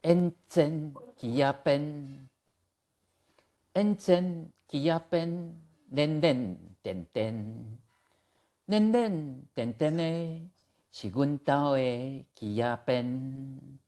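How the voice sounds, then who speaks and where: A reader with a Taiwanese accent reciting a poem in measured verse lines. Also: mid-range voice, dark tone. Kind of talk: slow poetry recitation.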